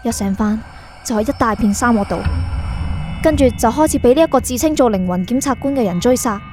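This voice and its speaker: A woman's voice: on the high side, lively intonation, brisk, close to an everyday voice. A woman playing a confused, defiant young fugitive in a radio drama, pleading and insistent, with a Hong Kong Cantonese accent. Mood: anxious, bewildered. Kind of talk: radio drama acting and first-person narration. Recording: music bed behind the voice.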